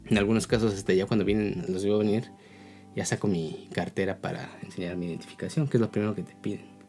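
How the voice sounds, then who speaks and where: A man's voice: lowish voice, fairly even intonation, fast, mellow, soft background music. A man with a Mexican accent giving a casual, explanatory opinion talk as a video blogger, often checking in with tag questions.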